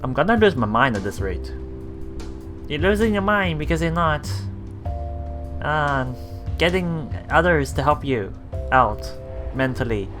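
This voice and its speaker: Slow-paced, halting game commentator over music. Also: medium pitch, sing-song. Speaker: let's play host. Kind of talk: casual visual novel commentary, reading and translating dialogue aloud. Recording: music playing behind the voice. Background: game background music with guitar.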